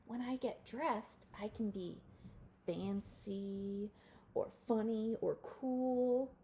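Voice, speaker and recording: Young male storybook mole rat, sweet-natured and earnest, high and sing-song played by a woman. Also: very slow, warm tone, slight background noise. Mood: cheerful.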